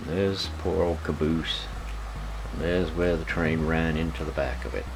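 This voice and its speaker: A man giving a casual, unscripted hobby vlog narration in a halting way, often repeating words. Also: very deep voice, fairly even intonation, background noise.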